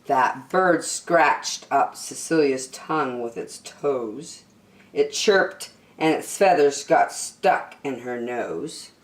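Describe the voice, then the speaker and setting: A woman reading a picture book aloud to children in an expressive way, drawing out key words for emphasis. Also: a bass voice, takes it slowly, echoey room, rough recording. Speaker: children's story reader.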